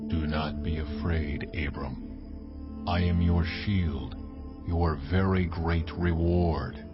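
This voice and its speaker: A deep, slow, solemn God. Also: male voice, fairly even intonation. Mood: solemn, reassuring. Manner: solemn, stately, measured. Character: God, solemn commanding male divine voice. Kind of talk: dramatized audio Bible acting. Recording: dull, band-limited stream with music. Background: clear music.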